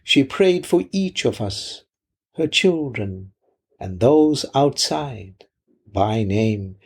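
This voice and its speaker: A man who is a pastor delivering a sermon in deliberate, measured phrases, often posing rhetorical questions. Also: medium pitch, expressive, slightly rough.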